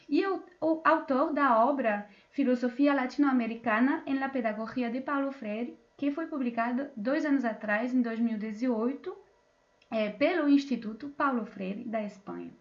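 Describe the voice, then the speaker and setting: A woman's voice: fairly high pitch, muffled recording. A woman moderating an online panel, introducing guests with many fillers and restarts, over a video call. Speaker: debate moderator.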